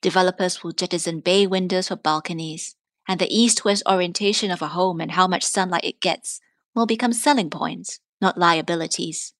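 Female reader, slightly low register, articulate and measured delivery of written prose, very little room sound. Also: a bit bright, dry recording, clean studio sound. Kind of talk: scripted literary reading.

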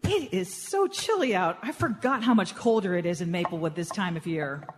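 A woman's voice: lowish voice, animated, normal speed, lightly acted. A woman playing a plucky, patient mother in a lighthearted radio play. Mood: gently firm. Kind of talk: comedic live radio play acting. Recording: echoey theatre hall with audible noise.

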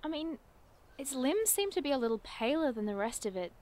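A woman's voice: sits high, big pitch swings, a little fast, a little mellow. A woman playing a curious, bookish cryptid investigator in an audio drama, earnest and wordy with dry playfulness. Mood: thoughtful, intrigued. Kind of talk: audio drama acting, naturalistic dialogue.

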